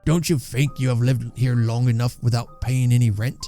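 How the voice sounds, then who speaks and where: A man voicing a stout, bullying landlord in a story, softly menacing, sarcastic and scolding. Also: medium pitch, fairly even intonation, normal speed, faint music behind, natural voice. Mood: soft sarcasm. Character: smug, bullying stout landlord feigning soft politeness.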